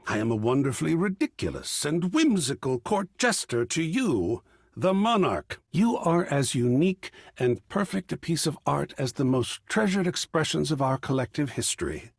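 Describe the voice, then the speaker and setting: A man's voice: a little high, gravelly, breathy edge, dry recording, studio quality, full character voice. A man voicing a whimsical, pretentious living artwork in a video game, with a theatrical, florid and effusive manner. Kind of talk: video game character acting.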